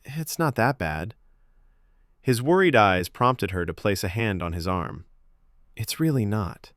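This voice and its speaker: A man narrating a scripted story in a measured, expressive audiobook style. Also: fairly low pitch, dry recording, studio quality. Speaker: fiction podcast narrator.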